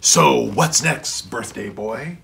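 Man putting on a big, booming, exuberant monster pal. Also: lively intonation, creaky, fairly bright tone, full character voice. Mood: jolly. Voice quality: bellowing, booming. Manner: drawn-out, childishly enthusiastic. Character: giant pink imaginary monster friend, exuberant, playful, booming.

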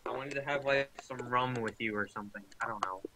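A man's voice: medium pitch, quick pace, a crowd in the background. A teenage boy co-playing a multiplayer game, teasing his friends with mock-serious banter. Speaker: co-player. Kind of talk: casual multiplayer gaming chat. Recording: loud background noise.

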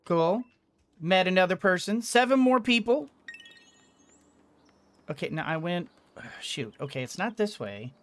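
A man's voice: high voice, lively intonation, a little slow, pauses often, fairly bright tone. A middle-aged man giving casual, playful, rambling commentary as he plays a game. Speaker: streamer, let's player. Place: indoors at a desk. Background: game music.

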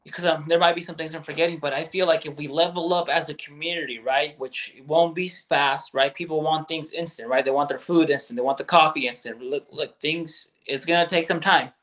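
Thirties guy on a video call from his bedroom, kinda high voice, blunt and swears a lot. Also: fairly even intonation, fairly quick, few pauses, fairly bright tone. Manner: blunt, profane, emphatic. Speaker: guest, gun business owner. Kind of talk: candid podcast chat. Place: bedroom at home.

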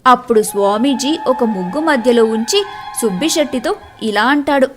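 High-pitched woman narrating a story. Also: expressive, normal speed, music in the background. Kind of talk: scripted children's story narration.

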